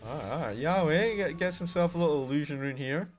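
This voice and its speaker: A Scottish man casting a live video game match on his own, talking to chat between fights and getting loud and excited in the action. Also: on the high side, a little fast, slight background noise. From Scotland. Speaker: esports caster. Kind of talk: solo live game commentary with chat asides.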